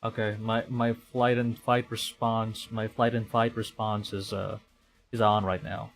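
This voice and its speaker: A man's voice: lowish voice, level tone, a little slow, soft background music. A man from the Philippines streaming a horror game, reacting with nervous swearing and stammered restarts. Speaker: VTuber streamer. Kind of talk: casual game-stream commentary.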